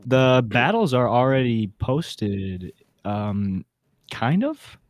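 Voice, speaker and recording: A man's voice: mid-range voice, very animated, slow, no echo, studio quality. A man co-hosting a podcast in casual, slangy chat, talking like an enthusiastic fan. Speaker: podcast co-host.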